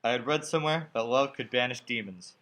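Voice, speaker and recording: A man's voice: medium pitch, brisk, a bit bright, lightly acted. A young man playing a haunted, frightened traveler in a radio play, telling his story in a brooding, dramatic way. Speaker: student actor. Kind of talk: radio play acting, dramatic first-person narration.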